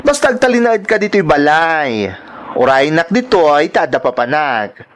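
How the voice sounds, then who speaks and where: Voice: medium pitch, very animated, normal speed, slight background noise, natural voice. A performer with an Ilocano accent playing a sassy, bossy, evasive transgender woman in an audio drama, flamboyant and scolding. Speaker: voice actor in a radio-style drama.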